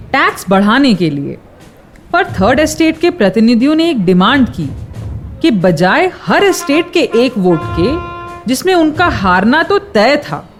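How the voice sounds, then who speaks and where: An actress with an Indian accent playing an earnest narrator, delivering scripted history in a radio play. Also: female voice, a little high.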